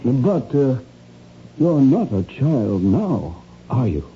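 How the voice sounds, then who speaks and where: Radio drama psychiatrist: measured, patient, probing, dark tone, faintly noisy old recording. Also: male voice, medium pitch, sing-song, lo-fi sound. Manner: measured, hesitant pauses, soothing. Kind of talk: 1970s radio drama acting.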